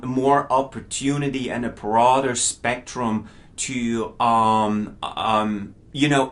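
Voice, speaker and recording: A man's voice: mid-range voice, a bit bright. Enthusiastic non-native English-speaking man reviewer. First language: not English. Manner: enthusiastic, stumbling over repeated words. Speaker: album reviewer. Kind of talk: casual spoken review.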